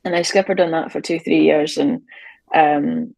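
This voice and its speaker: A young Shetland woman sailor chatting about music in a relaxed interview on a video call from a hotel room, with plenty of ums and easy laughs. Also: deep voice, moderate pace, creaky, dry recording, low-quality recording. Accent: Shetland Scottish. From Shetland, Scotland. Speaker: sailor and fiddler, podcast guest. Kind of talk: casual interview chat.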